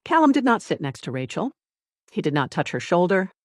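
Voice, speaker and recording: Audiobook narrator for domestic drama, medium pitch, deliberate and measured delivery, very dry recording. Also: very animated, no room sound.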